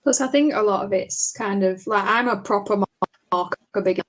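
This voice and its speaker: A woman's voice: medium pitch, normal speed. Woman, co-host, friendly casual chat, easygoing. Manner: friendly, easygoing, reads viewer names aloud. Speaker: co-host of a live coloring stream. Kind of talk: casual live-stream chat.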